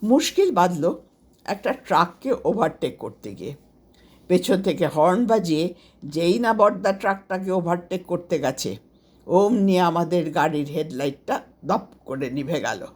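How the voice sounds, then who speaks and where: A woman narrates a first-person story in a fairly low register. Her voice is noticeably husky and grainy. She reads from a script with an expressive storyteller's delivery. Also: unhurried. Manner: expressive, acts out quoted dialogue. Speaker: storyteller, author reading own story.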